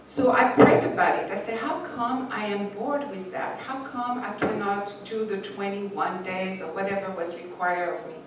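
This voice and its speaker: A woman's voice: medium pitch, steady tone, normal speed, few pauses. A woman whose first language is not English, giving a reflective spiritual talk and reading passages aloud, with a few restarts. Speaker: spiritual teacher. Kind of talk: sermon with read passages. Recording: echoey room from far off, faint noise.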